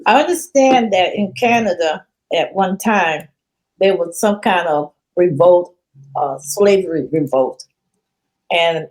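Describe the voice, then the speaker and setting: Woman host with a low, halting delivery. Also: darkish tone, poor audio. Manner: halting questions with restarts. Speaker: interview host. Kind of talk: casual interview questions.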